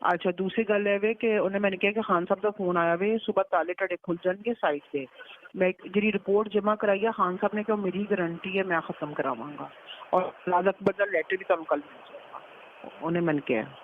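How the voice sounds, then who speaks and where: A woman's voice: medium pitch, steady tone, brisk, a little hiss or hum. Pakistani Punjabi woman on muffled phone line. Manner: recounts talk by quoting it. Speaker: daughter on a phone call. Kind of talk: casual private phone conversation. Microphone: telephone.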